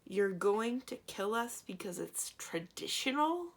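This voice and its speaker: Woman acting a brisk, sharp-tongued young heroine. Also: medium pitch, lively intonation, slight background noise, pitched above the performer's own voice. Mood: exasperated. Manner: curt, exasperated, dry.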